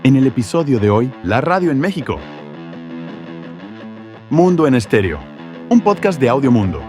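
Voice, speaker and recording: A man's voice: mid-range voice, very animated, normal speed. A man reading a scripted podcast intro as an announcer. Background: plucked guitar music.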